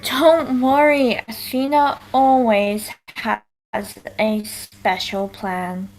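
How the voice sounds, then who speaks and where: A woman's voice: on the high side, little pitch movement, very slow, darkish tone, a little hiss or hum, low-quality recording. A woman playing a bossy young strategist, daughter of a wisdom goddess, exasperated and commanding in a musical theatre table read. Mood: confident.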